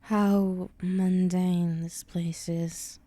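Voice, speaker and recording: Woman playing a condescending female demon for ASMR roleplay: unhurried, dark and mellow, teasing menace. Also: lowish voice, level tone, no room sound. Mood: disdainful, impatient. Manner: condescending, teasing, deliberate, with breathy whispered moments.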